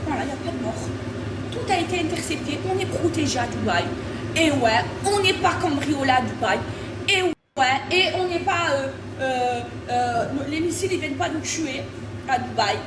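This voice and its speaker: A high-voiced woman from France rants indignantly, her words wavering between laughter and tears. She sounds distant from the microphone in an echoey room with noise all around her. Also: animated, normal speed. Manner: indignant, shaky, half laughing half crying. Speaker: influencer in a clip. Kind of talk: emotional rant to camera. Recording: echoey noisy room from off mic.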